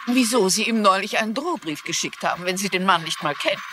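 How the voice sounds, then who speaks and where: Actress doing older lady amateur sleuth, tv mystery dub, nosy and firm, really animated pitch. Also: fast, noisy background, natural voice. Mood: polite, probing. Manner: insistent, questioning, polite but firm. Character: sharp, persistent older woman crime novelist turned amateur sleuth. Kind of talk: dubbed TV crime drama acting.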